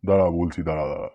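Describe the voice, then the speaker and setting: A man voicing an excitable, comical cartoon schoolteacher with halting, stammering speech. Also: extremely high pitch, heavy background noise, exaggerated voice. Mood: flustered. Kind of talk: cartoon comedy dialogue.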